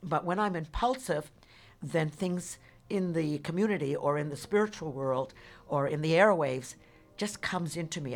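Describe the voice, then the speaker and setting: An older woman being interviewed on a radio show, talking in an animated, emphatic way with a faintly noisy background. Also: low voice. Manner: animated, emphatic, spiritual-minded. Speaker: guest.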